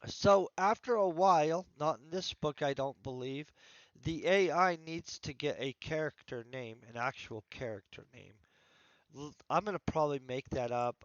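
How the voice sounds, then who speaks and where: Male author, congested voice, casual rambling stream talk, mid pitch, dull dry recording. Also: no echo. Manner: rambling, thinking aloud with restarts. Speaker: author streaming his writing session. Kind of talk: casual livestream chat.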